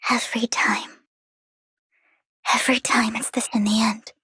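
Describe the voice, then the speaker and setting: An actress playing a burdened, earnest young woman seer in a video game, speaking softly, often near a whisper. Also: a little high, level tone, measured pace, breathy edge, no room sound, full character voice. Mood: melancholy. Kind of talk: dramatic video game voice acting.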